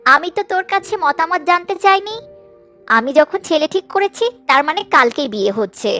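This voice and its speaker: A woman's voice: extremely high pitch, lively intonation, a little fast, soft background music, heavily acted. A woman playing a greedy, scheming cartoon stepmother who grumbles, scolds and bosses people in a melodramatic style. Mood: scolding, domineering. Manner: sharp-tongued, grumbling, domineering. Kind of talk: melodramatic Bengali cartoon dubbing.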